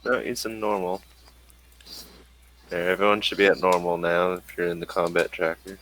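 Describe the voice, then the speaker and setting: A man with a bright, forward voice runs a tabletop role-playing session. He sits a little low in pitch and talks in an easy, relaxed way, laughing easily as he calls for rolls. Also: normal speed, a little hiss or hum, poor audio. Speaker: game master. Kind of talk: running a tabletop game online.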